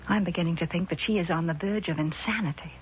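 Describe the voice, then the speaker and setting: An actress playing a worried, evasive woman in a 1970s radio drama, speaking in a hesitant, measured way. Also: fairly low pitch, lightly acted. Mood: anxious. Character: worried, evasive sister with designs on an estate. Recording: dull, noisy vintage radio recording.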